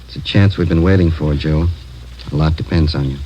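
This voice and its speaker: Low-voiced, terse police captain. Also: male voice, fairly even intonation, fairly quick, warm tone. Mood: serious. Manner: plain-spoken, terse. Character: brisk, watchful male police narcotics captain giving orders. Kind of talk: understated 1950s radio drama acting. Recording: muffled old radio recording, faint noise.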